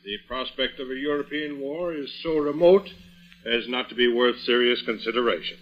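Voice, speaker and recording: Pompous bossy rich old uncle, creaky voice, old timey radio play, muffled sound. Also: male voice, mid-range voice, lively intonation, normal speed. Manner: pompous, formal, imperious. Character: pompous, imperious wealthy uncle, older man. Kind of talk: vintage radio drama acting. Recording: dull old radio recording.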